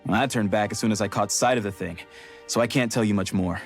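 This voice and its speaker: Actor as a low-pitched plain-spoken miner. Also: male voice, quick pace, faint music behind, lightly acted. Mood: helpful warning. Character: down-to-earth male miner, helpful and cautious local. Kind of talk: video game cutscene acting.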